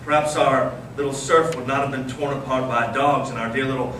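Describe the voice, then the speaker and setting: A man playing a brooding, cynical intellectual in readers theater, speaking with measured, ironic intensity. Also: mid-range voice, fairly even intonation, fairly bright tone, lightly acted. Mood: bitter irony. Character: brooding, cynical intellectual brother, a provocative storyteller. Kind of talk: staged readers theater dialogue. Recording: echoey noisy room, distant microphone.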